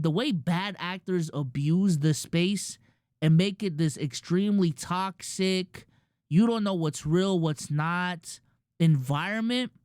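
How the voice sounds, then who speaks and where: Streamer dude w a filipino accent riffing to chat, slangy, keeps restarting, dead dry mic. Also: male voice, high-pitched, clean tone, no echo. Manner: loose slangy talk with many restarts. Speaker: streamer and podcast host. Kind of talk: casual commentary to a live chat.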